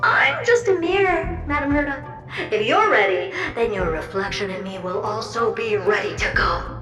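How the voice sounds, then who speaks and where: A woman voicing a talking mirror, a chatty and theatrical assistant in a video game who gushes and flatters. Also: fairly high pitch, moderate pace, natural voice. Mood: theatrical, startled. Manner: dramatic, playful, gushing. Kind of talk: English video game voice acting. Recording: echoey, distant voice under loud music.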